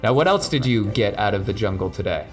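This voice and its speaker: A man's voice: fairly low pitch, lively intonation, fast talker, faint music behind. A man narrating as game master of a tabletop game, laid-back and rambling.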